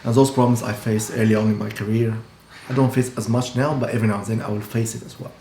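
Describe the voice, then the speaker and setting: A man's voice: fairly low pitch, steady tone, fast, dark tone. A man, talking casually to camera as a dentist, earnest and explanatory with frequent restarts. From Egypt. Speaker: dentist and practice owner. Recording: echoey room with faint hum.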